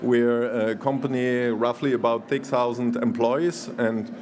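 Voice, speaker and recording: German male presenter, mid pitch, halting delivery with frequent restarts. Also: steady tone, darkish tone, slight background noise. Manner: hesitant, many fillers and restarts, laughs easily. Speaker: conference speaker. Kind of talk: conference presentation.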